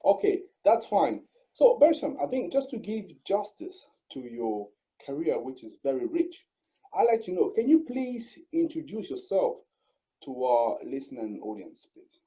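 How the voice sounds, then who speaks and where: Cameroonian guy hosting a video call interview, super dark tone, formal, repeats himself. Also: fairly high pitch, sing-song, unhurried, rough recording. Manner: formal, repeats phrases, emphatic greetings. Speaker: host and channel founder. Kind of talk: online interview.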